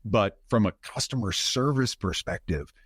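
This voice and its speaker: Low-voiced man hosting a reflective interview. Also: animated, moderate pace, fairly bright tone, husky, no room sound, clean studio sound. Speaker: podcast host, interviewer. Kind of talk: conversational interview.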